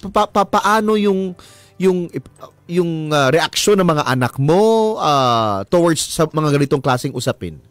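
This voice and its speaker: A man's voice: on the high side, very animated, a little slow, dry recording. A Filipino man hosting a radio interview, asking probing questions conversationally and dropping in English phrases. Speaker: radio host interviewing a guest. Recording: radio studio with music underneath. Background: background music.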